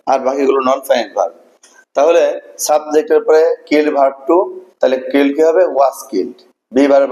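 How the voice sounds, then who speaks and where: A man's voice: mid-range voice, mellow, poor audio. A man teaching a grammar lesson, explaining step by step and repeating key words. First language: Bengali.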